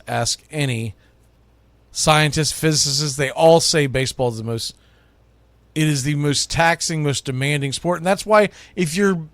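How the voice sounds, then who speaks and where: A man hosting baseball radio, delivering an opinionated countdown monologue with emphatic, punched phrases and dramatic pauses. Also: fairly high pitch, fairly bright tone, no echo. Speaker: baseball radio host and play-by-play announcer.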